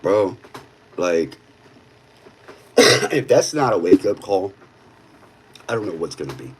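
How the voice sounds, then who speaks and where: A man's voice: sits low, very animated, takes it slowly, a little hiss or hum, poor audio. A man in his thirties talking bluntly in casual streetwise slang on a livestream. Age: 30s. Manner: blunt, streetwise, peppered with "bro".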